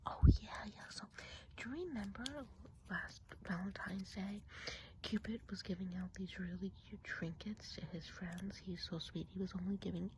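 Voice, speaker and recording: A woman whispering softly and breathily in a chatty, confiding ASMR roleplay as a gossipy witch of the woods. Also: mid-range voice, fairly even intonation, background noise. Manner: confiding, unhurried, casual gossip. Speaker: ASMR performer.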